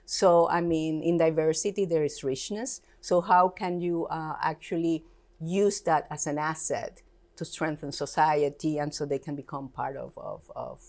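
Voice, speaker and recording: A woman's voice: deep voice, fairly even intonation, measured pace, a little mellow. A woman from Puerto Rico with a Puerto Rican Spanish accent, a Spanish native speaker, talking earnestly as an interview guest. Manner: earnest, emphatic, slightly non-native grammar. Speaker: education institute director, guest. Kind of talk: casual interview conversation.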